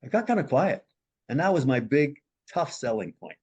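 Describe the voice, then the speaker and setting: A man, a marriage and family therapist, recounting a counseling case as a lively storyteller who acts out the people he quotes. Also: normal speed, husky, lo-fi sound. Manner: animated storyteller, acts out quoted voices with big pitch jumps.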